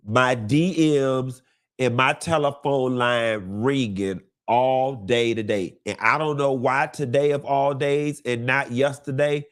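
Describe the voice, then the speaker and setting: A man in the middle of his range hosts a live gossip show, sounding congested from a cold. He talks in a sassy, drawling, campy way, calling the audience honey and baby and swooping up high when he wants to stress something. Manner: swoops high on greetings and emphasis. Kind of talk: casual campy gossip monologue.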